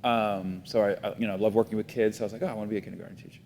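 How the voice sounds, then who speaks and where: Low-voiced man, reflective, roomy sound. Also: fairly even intonation, very fast, creaky, a little mellow. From Miami, United States. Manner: reflective, many fillers and restarts. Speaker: guest, foundation CEO. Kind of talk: casual interview talk. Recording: roomy reverb, faint rumble.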